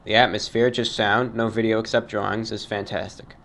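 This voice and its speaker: A man hosting his own show, reading fan emails aloud and answering them in a formal, measured, somewhat halting way. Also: lowish voice, level tone, creaky, fairly bright tone. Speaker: host reading fan mail. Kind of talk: radio-style monologue reading emails.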